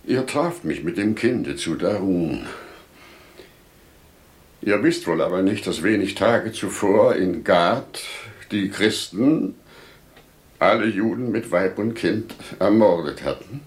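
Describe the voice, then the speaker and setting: An actor playing a wise, devout older merchant father in solemn, declamatory, deliberate 1950s radio play style. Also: deep voice, lively intonation. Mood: grave, confiding. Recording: 1950s radio drama recording.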